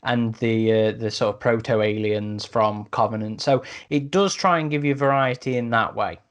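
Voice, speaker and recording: A man's voice: mid-range voice, moderate pace. A man hosting a podcast discussion in a casual way, repeating words as he works through a thought. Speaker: podcast host.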